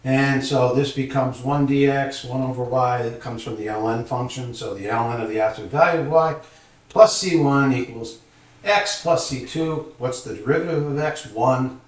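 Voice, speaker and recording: A man's voice: medium pitch, moderate pace. A man teaching calculus informally at a board, thinking aloud as he explains each step. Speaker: math teacher. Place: indoor room, at a board. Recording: echoey room, distant microphone.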